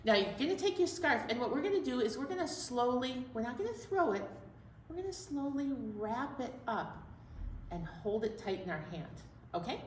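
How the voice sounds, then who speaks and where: A woman's voice: a little high, big pitch swings, normal speed. A woman hosting a children's storytime, talking playfully and directly to young children. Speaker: children's library storytime host. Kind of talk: chatty preschool storytime talk. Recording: echoey room, distant mic, faint noise.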